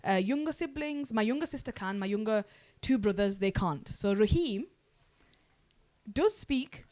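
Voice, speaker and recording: A young woman hosting a podcast, chatting casually and quickly with her siblings and often restarting her sentences. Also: fairly high pitch, animated, mellow. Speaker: podcast host.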